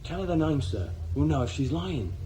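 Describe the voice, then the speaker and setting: A man's voice: on the high side, very animated, fairly quick, mellow. An actor playing a dim, obedient junior lawman in a radio drama, deferential to his superior and officious. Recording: distant voice, clearly noisy radio recording.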